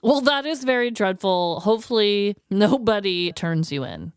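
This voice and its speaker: A woman co-hosting a comedy podcast in casual banter, expressive and quick to exclaim. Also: medium pitch, clean tone, no room sound, clean studio sound. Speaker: podcast co-host.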